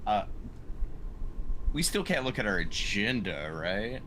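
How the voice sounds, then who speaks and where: A man talking casually as a player in a tabletop roleplaying game, hesitant and halting. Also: lowish voice, very animated, bright, forceful tone, a little hiss or hum, low-quality recording.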